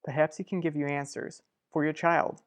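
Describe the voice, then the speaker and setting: A man's voice: a little high, flat delivery, normal speed, lightly acted. A reserved doctor in a radio soap, acted by a man. He sounds formal and businesslike, holding back with a restrained, firm delivery. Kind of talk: old-time radio drama acting.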